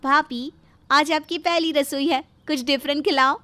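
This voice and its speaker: Woman playing a bubbly, high-voiced young sister-in-law. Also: fairly even intonation, unhurried, pushed and bright. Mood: eager. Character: excitable young modern sister-in-law, playful and eager. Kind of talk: dramatised moral story for a cartoon.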